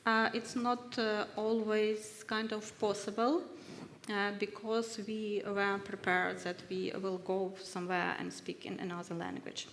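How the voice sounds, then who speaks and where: A woman from Ukraine, speaking haltingly on a workshop panel as a research fellow, with many hesitations. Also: medium pitch, level tone, gravelly, fairly bright tone, slight background noise. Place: university ceremonial hall. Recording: echoey hall with long reverb.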